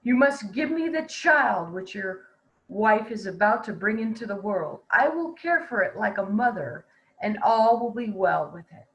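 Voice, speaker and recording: A woman's voice: mid-range voice, lively intonation, a little slow, dull audio. A woman voicing an angry, commanding old witch in a fairy tale reading. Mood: stern bargaining. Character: angry, bargaining old witch, merciless and possessive.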